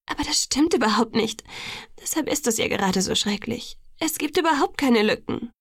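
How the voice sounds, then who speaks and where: Woman playing an anguished, breathy young woman, pleading. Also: a little high, animated, normal speed, no echo, clean studio sound. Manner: pleading, whispered and murmured. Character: anguished young woman, guilt-ridden and self-loathing. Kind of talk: audiobook dialogue.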